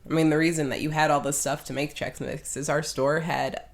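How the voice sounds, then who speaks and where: A middle-aged woman with a lowish voice co-hosts a podcast. She chats casually and tells everyday anecdotes. Her delivery is matter-of-fact. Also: level tone, brisk. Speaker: podcast co-host.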